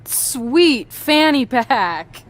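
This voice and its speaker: A woman's voice: sits high, expressive, extremely slow, slight background noise, exaggerated voice. A woman playing a confident, dry-witted pro wrestler with a sardonic, casual manner in a video game scene. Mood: teasing.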